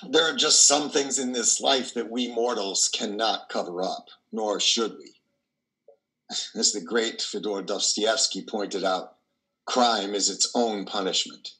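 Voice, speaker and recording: A man performs an elderly priest in a stage drama, troubled and philosophical. His voice is low but very bright and forceful, with deliberate, theatrical, musing phrasing. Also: fairly even intonation, rough recording. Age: 60s or older. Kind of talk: dramatic monologue in play reading. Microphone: video call, close to camera.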